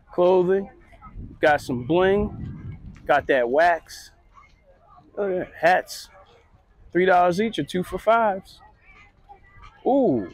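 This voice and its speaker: A middle-aged man strolls an outdoor market, chatting easily as he points things out. His voice sits a little high, and the phone mic catches it dry and close, with no room around it. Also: no room sound. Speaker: vlogger. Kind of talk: casual walking commentary. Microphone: handheld phone camera.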